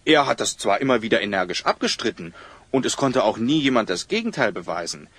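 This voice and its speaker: Lively, helpful local villager Nurmius. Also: male voice, on the low side, fairly quick, slightly rough, dry recording, heavily acted. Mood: informative, concerned. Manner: explanatory, earnest. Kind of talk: German children's audio drama acting.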